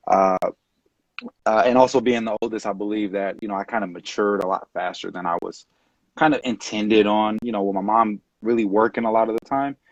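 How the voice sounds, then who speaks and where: A man in his late twenties from California, talking casually as an interview guest on a video call, relaxed and rambling with many fillers. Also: on the low side, dry recording. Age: late 20s. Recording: dull dry video-call audio.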